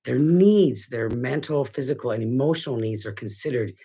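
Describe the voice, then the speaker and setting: Stuffy-nosed husky man, earnest home talk. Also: medium pitch, expressive, moderate pace, mellow. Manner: earnest, rambling with restarts. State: stuffy, congested. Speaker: dog trainer hosting a weekly show. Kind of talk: casual talk to camera. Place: living room at home.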